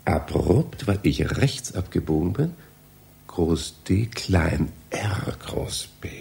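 An actor in a radio play playing a polite, bewildered library visitor, hesitant and given to muttering to himself. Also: male voice, a bass voice, creaky, a little mellow, a little hiss or hum, exaggerated voice. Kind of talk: German radio play acting.

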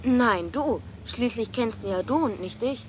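A woman's voice: fairly high pitch, expressive, slight background noise. A woman playing a cheeky, skeptical eleven-year-old boy in a radio play, with a Bavarian accent and a cocky, argumentative manner. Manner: cocky, quick to argue.

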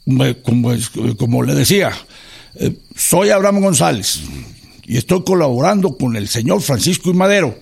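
A man's voice: a little high, lively intonation, moderate pace, creaky, darkish tone, breathy edge, exaggerated voice. A man playing an earnest, educated Mexican businessman turned revolutionary in a radio drama, polite, hesitant and persuasive. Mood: earnest, insistent.

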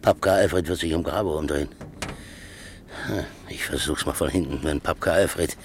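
An actor playing a gruff, blunt, grumbling old working man in a German radio play. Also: very deep voice, moderate pace, a little hiss or hum. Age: older.

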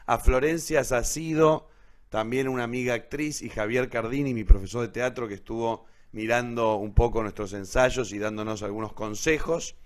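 Male host, Argentine accent, casual live show delivery, halting and self-correcting, wanders as he talks. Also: mid-range voice. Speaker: host of an online radio theatre show.

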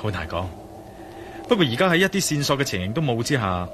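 An actor playing an inquisitive, bold male adventurer in a radio drama, with a Hong Kong Cantonese accent and a deliberate, pondering manner. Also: medium pitch, very animated, background noise, natural voice. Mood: pondering, determined. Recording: radio drama with clear music behind.

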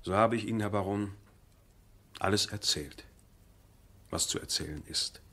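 Deferential, low-voiced manservant. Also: male voice, fairly bright tone. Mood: respectful reporting. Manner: respectful, measured, hedging servant speech. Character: deferential, cautious manservant to a baron. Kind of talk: 1970s German radio play acting.

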